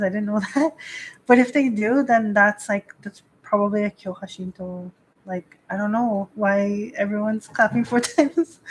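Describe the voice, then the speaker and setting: A woman's voice: medium pitch, a little hiss or hum, low-quality recording. A woman priestess hosting a casual live stream, rambling with many fillers and frequent small laughs. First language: not Japanese.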